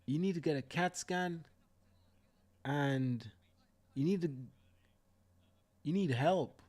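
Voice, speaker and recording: A man's voice: a little high, takes it slowly, darkish tone. A young Jamaican man hosting a live stream, ranting fiercely with profanity and repeating himself for emphasis. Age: young adult. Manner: fiery, profane ranting with repetitions. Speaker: livestream host. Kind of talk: heated live commentary.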